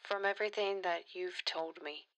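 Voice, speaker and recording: A woman playing a principled, defiant politician in an audio drama, speaking in a measured, earnest way. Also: fairly low pitch, steady tone, a bit bright, close to an everyday voice. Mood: grave, righteous.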